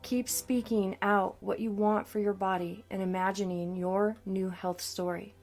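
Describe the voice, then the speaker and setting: A woman coach narrating a scripted guided meditation in a soothing, deliberate manner. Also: medium pitch, little pitch movement, darkish tone. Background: background music.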